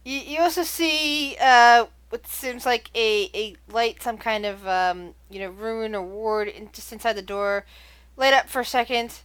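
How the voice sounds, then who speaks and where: Woman game master, rather high voice. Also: measured pace, fairly bright tone, clean tone. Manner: hesitant, many fillers and restarts. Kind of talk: narrating a tabletop game.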